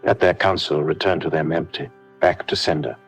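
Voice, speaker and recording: Male, very low register with some rasp, delivering emphatic scripted prayer declarations in a solemn manner. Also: steady tone, moderate pace. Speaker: prayer leader. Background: faint background music.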